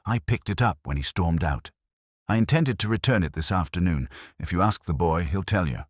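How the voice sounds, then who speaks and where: Man voicing a pompous, indignant organist, animated delivery. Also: low-pitched, moderate pace, no echo, studio quality, pitched above the performer's own voice. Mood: snapping, defensive. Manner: sharp, snapping, disdainful, theatrical. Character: belligerent, indignant, pompous tall male church organist.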